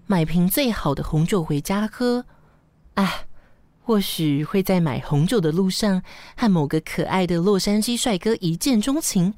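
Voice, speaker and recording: Actress as a breezy, blunt secretary, dry sound. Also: female voice, medium pitch, mellow, no echo, lightly acted. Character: breezy, blunt female secretary with teasing frankness. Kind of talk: radio drama acting.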